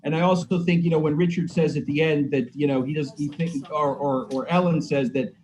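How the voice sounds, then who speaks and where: A man's voice: on the high side, steady tone, a little fast, a little mellow, poor audio. A man discussing his own writing casually on a video call, hesitant and often repeating his phrases. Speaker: playwright. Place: indoor room, video call. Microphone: computer mic on video call.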